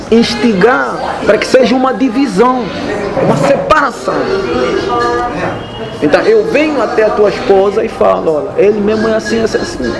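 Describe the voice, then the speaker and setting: A man from Angola with an Angolan Portuguese accent, a guest explaining at length and often checking that he is understood. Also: high-pitched. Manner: didactic, keeps checking if understood. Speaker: interview guest. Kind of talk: explanatory interview talk.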